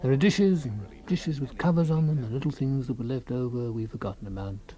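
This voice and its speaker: A man's voice: mid-range voice, a little fast, mellow, highs cut off, lightly acted. An actor playing a fussy, self-absorbed husband in a radio comedy-drama, rambling and savouring small things, stoic about his creeping paralysis. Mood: wistful relish.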